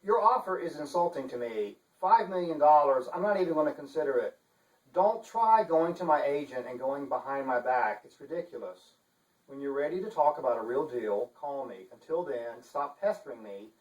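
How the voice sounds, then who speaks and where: A man with a pompous, theatrical manner giving a deliberate dramatic reading as an author. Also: a little high, animated. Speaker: author reading his novel. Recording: echoey room, distant microphone.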